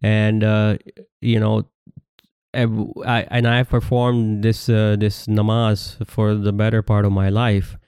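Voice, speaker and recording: A man whose native language is Urdu gives a scripture study lecture, reading passages aloud and explaining them with many halting fillers and restarts. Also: lowish voice, completely flat delivery, a little mellow, clean tone, no echo, studio quality. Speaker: religious teacher.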